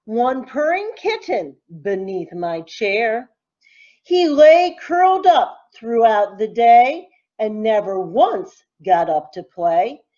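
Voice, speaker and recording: A woman teacher reading a rhyming picture book aloud to children in a deliberate, expressive, sing-song way. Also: a little high, dull audio. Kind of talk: expressive storybook read-aloud in rhyme.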